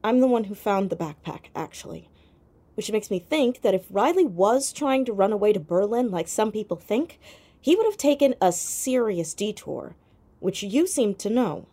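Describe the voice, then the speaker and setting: A woman playing a sharp, persistent fourteen-year-old girl detective in an audio drama, questioning directly. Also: medium pitch, very animated, moderate pace. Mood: probing, assertive. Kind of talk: audio drama acting, naturalistic.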